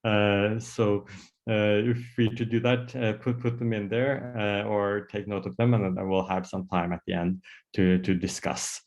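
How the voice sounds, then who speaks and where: A man's voice: fairly low pitch, level tone, a little slow, darkish tone, highs cut off. Hesitant Norwegian man giving a talk. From Norway. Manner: hesitant, many fillers and restarts. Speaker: psychiatrist giving a workshop talk. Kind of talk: online workshop presentation. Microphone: video call.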